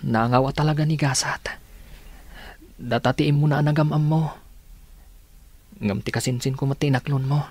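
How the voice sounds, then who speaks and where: A man playing an earnest young man in love in a radio drama, with a tender, slightly husky voice. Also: mid-range voice, fairly even intonation, normal speed, long pauses, a little mellow, no echo, close to an everyday voice.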